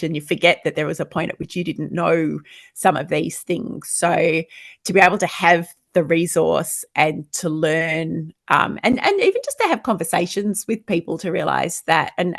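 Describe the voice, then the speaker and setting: A woman's voice: on the low side, dry recording, clean studio sound. A middle-aged woman with an Australian accent, talking candidly and reflectively as an interview guest. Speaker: podcast guest, home owner building a house. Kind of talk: reflective personal interview conversation.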